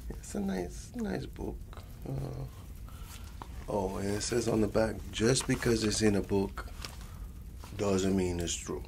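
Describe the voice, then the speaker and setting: A man playing an affectionate old grandfather with a Latin American Spanish accent, softly telling a bedtime story in an ASMR roleplay, rambling and repeating endearments as he switches between Spanish and English. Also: on the low side, animated, takes it slowly, gravelly, long pauses, slight background noise, full character voice. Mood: patient, storytelling.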